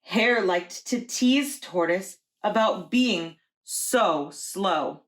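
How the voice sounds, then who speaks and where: A woman preschool teacher reading a children's storybook aloud in a deliberate, expressive storytelling way. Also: mid-range voice.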